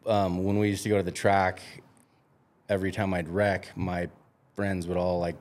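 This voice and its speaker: Deep-voiced man hosting a podcast. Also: flat delivery, a little mellow. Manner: chatty, enthusiastic, quick to chime in. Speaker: podcast host. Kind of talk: casual conversation.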